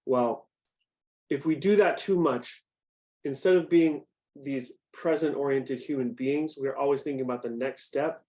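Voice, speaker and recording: A young man teaching a group, speaking in an even, middle-pitched voice. His talk is conversational and easy, and he keeps stopping to put questions to his listeners. He sounds like someone guiding a youth class on a video call. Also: a little slow. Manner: conversational, asks the audience questions. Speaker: youth program director.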